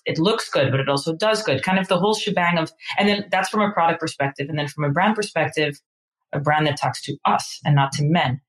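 A woman's voice: low-pitched, low-quality recording. A woman in her thirties from the Netherlands, a company co-founder chatting casually in an interview and using lots of fillers. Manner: chatty, fillers like "you know".

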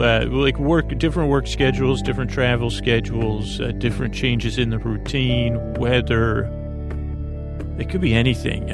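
A man hosting a sleep podcast, talking in a slow, meandering, soothing monologue that often loses its thread, with a creaky voice. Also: medium pitch. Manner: meandering, self-interrupting, gently soothing. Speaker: podcast host. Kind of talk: slow rambling bedtime monologue.

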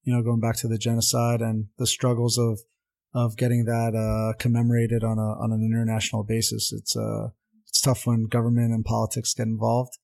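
A man's voice: mid-range voice, completely flat delivery, brisk, gravelly, a little mellow. A young man whose first language is Armenian, a soccer coach speaking earnestly as an interview guest, with many fillers and restarts. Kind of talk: casual interview talk.

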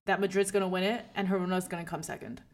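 A woman's voice: lowish voice, level tone, racing. Opinionated woman in casual sports debate. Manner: opinionated, emphatic, repeats points. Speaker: podcast co-host. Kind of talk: casual football podcast debate.

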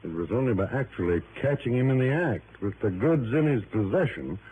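An actor playing a cooperative, talkative male assistant warden in a vintage radio drama, earnest and deliberate. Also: medium pitch, sing-song, a little mellow, natural voice. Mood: earnest, emphatic. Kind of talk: 1950s-60s radio drama acting. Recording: noisy, tinny vintage radio recording.

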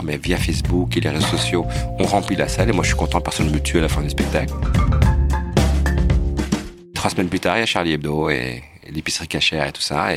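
Man, very deep voice, quick talker, casual storytelling. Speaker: playwright and director. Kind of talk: documentary interview.

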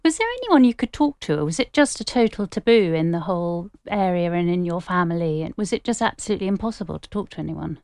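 A woman's voice: fairly low pitch, lively intonation, fast talker, few pauses, a little mellow, no room sound, clean studio sound. A woman hosting an interview, putting direct, probing questions to her guest. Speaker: interview host.

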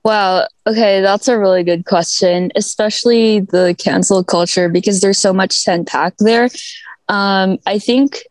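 A woman's voice: medium pitch, fairly even intonation, no room sound. A young woman speaking as an activist guest in a casual video-call interview, chatty and upbeat with lots of fillers. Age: young adult. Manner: chatty and upbeat, quick laughs, many fillers. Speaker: mental health activist, guest. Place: outdoors at a school.

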